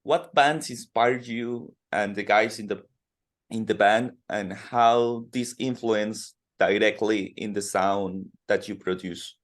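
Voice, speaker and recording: A man from Colombia interviewing a musician, asking slow, halting questions full of fillers. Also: on the low side. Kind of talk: podcast interview in second language.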